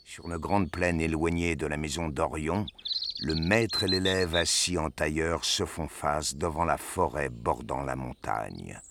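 A man's voice: a bass voice, a little slow, background noise. A man reading scripted narration for an audio drama as its storyteller.

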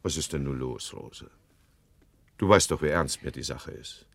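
An actor playing an impetuous, possessive gentleman lover with an emphatic manner in a German radio play. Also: rumbling low, a little slow, gravelly, dry recording, heavily acted. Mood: agitated, indignant. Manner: emphatic, blustering, scornful.

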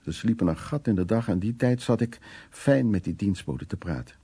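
A blunt, worldly storyteller in a radio play. His low, gravelly, husky voice carries an earthy and wry monologue about his own past. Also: animated, moderate pace, dark tone. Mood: earthy, confessional. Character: worldly, blunt man recounting wartime escapades in Berlin.

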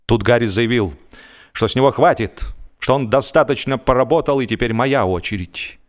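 A man performing a genial, wry gentleman who tells a humorous story in a measured, theatrical, expressive way. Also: medium pitch, natural voice. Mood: wry, mock-dignified. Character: genial, wry, musing gentleman narrator of a comic tale. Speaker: audiobook narrator and actor. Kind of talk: dramatized first-person humorous story narration. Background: music.

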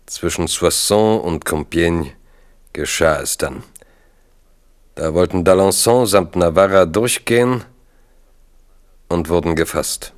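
Very deep-voiced male radio play narrator. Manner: measured, storytelling. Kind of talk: scripted literary narration, 1970s radio play.

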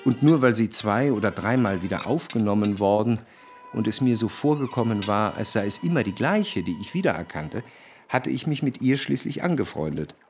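An actor playing a reflective, cultured man who recalls his past in a literary radio drama, with measured, precise diction. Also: on the low side, expressive, darkish tone, faint music behind. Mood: wistful. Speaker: narrator.

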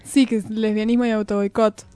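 A woman's voice: mid-range voice, flat delivery, a little fast, warm tone, clean tone, no echo. A woman with a Rioplatense Argentine accent chatting casually as a radio co-host. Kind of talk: casual group chat. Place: close dry radio studio.

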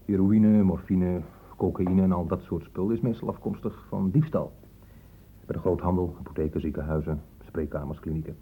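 An actor in a Dutch radio play voicing a blunt, sardonic police inspector with a brusque, interrogating way of talking. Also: male voice, low voice, steady tone, moderate pace, slight background noise. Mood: matter-of-fact. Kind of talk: Dutch radio drama acting. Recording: muffled phone-like old radio recording.

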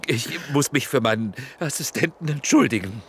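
An actor playing a composed, wry film director in a radio drama. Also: male voice, medium pitch, lively intonation, moderate pace, natural voice.

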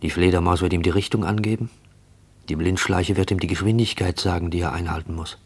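An actor playing a haunted, watchful narrator in a literary radio play monologue, measured and brooding. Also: male voice, low-pitched, steady tone, creaky, slightly rough, heavily acted.

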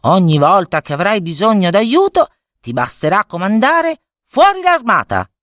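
A woman's voice: medium pitch, sing-song, moderate pace, a bit bright, dry recording, studio quality, voice pushed up. A woman voicing a persuasive old beggar man in a fairy tale, coaxing and emphatic. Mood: boastful, coaxing.

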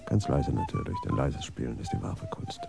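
An actor playing a stubborn, self-justifying police adjutant of about fifty in a radio crime drama, defensive and emphatic. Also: male voice, very high voice, wildly swooping pitch, moderate pace, gravelly, exaggerated voice. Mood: dry. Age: 50s. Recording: loud music under the voice.

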